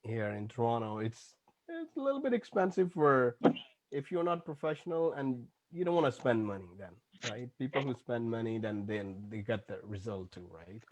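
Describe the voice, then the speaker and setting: A man from Pakistan talks over a video call in a loose, rambling way, full of fillers. His voice sits at an ordinary pitch. The sound is dry and close. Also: normal speed, dry recording. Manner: relaxed, rambling, many fillers. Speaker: music producer. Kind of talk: casual chat. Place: Toronto.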